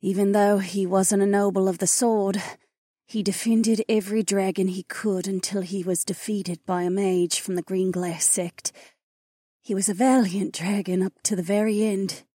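A man voicing a frail, ancient female dragon, a dying and tender mother, in a weak, breathy, murmuring voice for an audiobook. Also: high voice, level tone, moderate pace, warm tone, no echo, clean studio sound, pitched above the performer's own voice. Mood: tender, fading. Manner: weary, murmuring.

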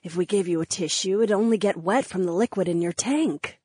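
A man's voice: high voice, a little fast, slightly rough, no room sound, voice pushed up. A man voicing a young female imp who teases in a dry, literal way. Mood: teasing. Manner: deadpan, literal.

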